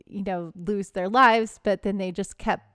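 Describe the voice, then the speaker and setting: A woman in her thirties from Texas co-hosting a podcast in casual chat, giggly and quick to gasp and react. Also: medium pitch, fairly even intonation, smooth, dry recording, clean studio sound. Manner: giggly, gasps and reacts loudly. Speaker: podcast co-host.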